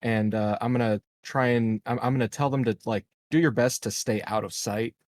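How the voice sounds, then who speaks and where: A man playing a tabletop game, talking casually and planning aloud in a halting, deliberate way. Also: medium pitch, steady tone, a little mellow, no room sound, clean studio sound.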